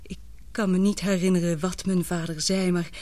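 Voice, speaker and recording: An actress playing an anxious but brave, tender young woman in a radio drama, with breathy, emotional, hesitant delivery. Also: on the low side, little pitch movement, moderate pace, dark tone, dry recording, natural voice. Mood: tense, confiding.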